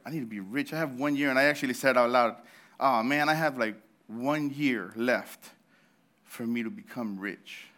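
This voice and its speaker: A man's voice: medium pitch, moderate pace, a bit bright. A man in his thirties preaching a sermon in church, telling a story with laughs and restarts, his throat slightly sore. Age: 30s. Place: church service.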